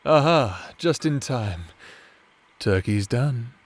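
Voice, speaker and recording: Slow-talking, doting evil husband acted by a man. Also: mid-range voice, lively intonation. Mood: warm, cheerful. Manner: soft, soothing, flirtatious, affectionate endearments. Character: doting, teasing, self-described evil necromancer husband. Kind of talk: intimate ASMR roleplay acting.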